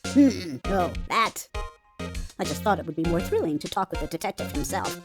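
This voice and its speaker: A woman's voice: fairly low pitch, lively intonation, moderate pace. Woman playing a chatty old security lady, swooping delivery. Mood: gossipy, smug. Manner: folksy, gossipy, ungrammatical turns of phrase. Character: chatty elderly female security guard, gossipy, huffy, opinionated. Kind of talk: scripted game character voice-over. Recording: background music throughout.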